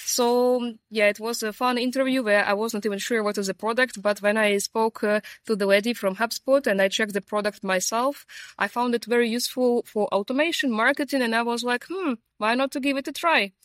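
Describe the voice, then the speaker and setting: A woman from Ukraine, answering interview questions on a rooftop in a friendly way, pausing with fillers. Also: a little high, fairly even intonation, dry recording. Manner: friendly, pauses with fillers, laughs easily. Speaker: sales team lead, interview guest. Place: sunny rooftop at a conference.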